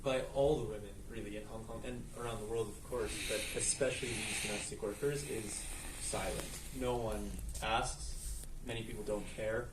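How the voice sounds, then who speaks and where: A man's voice: fairly low pitch, fairly even intonation, moderate pace, few pauses, background noise. Film director guy at a q&a, earnest and thoughtful, sounds a bit far off in an echoey room. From United States. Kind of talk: festival Q&A answers. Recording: echoey room, mic at a distance.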